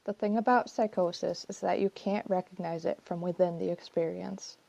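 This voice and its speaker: Mellow-voiced woman narrating a personal essay. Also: fairly low pitch, steady tone, moderate pace, gravelly, dry recording. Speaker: essayist reading own essay.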